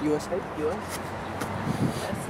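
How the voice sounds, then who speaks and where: A young man in his twenties from Venezuela, a landscape architecture student, chatty and friendly. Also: normal speed. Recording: noisy outdoor traffic recording.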